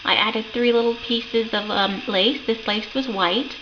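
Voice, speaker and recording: Woman, croaky voice, chatty craft show-and-tell, ums and self-corrections, muffled lo-fi sound. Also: fairly high pitch, steady tone, measured pace. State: croaky from a sore throat. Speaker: crafting channel host. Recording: dull lo-fi home recording with noticeable background hiss.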